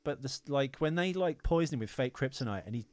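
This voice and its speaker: A man's voice: mid-range voice, animated, a little fast, a little mellow. A man co-hosting a casual film podcast, talking in an opinionated, rambling way with plenty of swearing. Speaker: podcast co-host.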